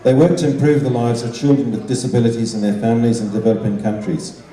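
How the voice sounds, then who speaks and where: Older guy w doing a formal measured speech, really mellow voice, echoey and a bit far from the mic. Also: mid-range voice, flat delivery. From Zimbabwe. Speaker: guest speaker, art teacher and children's author. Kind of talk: prepared speech to an evening gathering. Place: large indoor function room. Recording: roomy, echoing hall sound, distant microphone.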